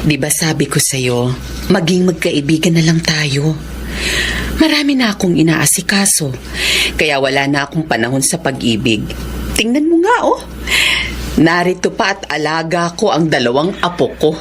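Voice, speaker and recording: A woman's voice: deep voice, very animated, moderate pace, slight background noise. An actress with a Filipino accent playing a devoted, resolute middle-aged mother and grandmother in an audio drama, speaking earnestly and emotively. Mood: gently firm. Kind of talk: Tagalog radio-style drama acting.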